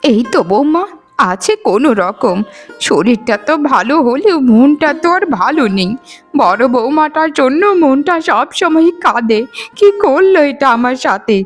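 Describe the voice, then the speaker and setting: Woman playing frail old mother-in-law, high voice, plaintive, aggrieved, cartoon moral tale, faint music. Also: animated, normal speed. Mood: sorrowful. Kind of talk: melodramatic cartoon moral-story voice acting.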